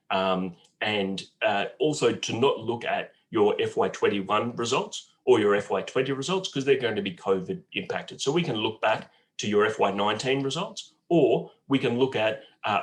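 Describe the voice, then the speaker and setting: A man in his forties with a croaky cold voice, speaking haltingly with many fillers as a bank guest on a webinar. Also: lowish voice, a bit bright. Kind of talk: webinar talk answering questions. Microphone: video call.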